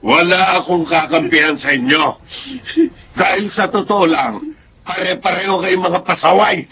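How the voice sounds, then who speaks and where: An actor playing a stern but caring, devout Filipino uncle in a radio melodrama, speaking earnestly. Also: male voice, sits high, sharp tone, husky, heavily acted. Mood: scolding. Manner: earnest, measured counsel.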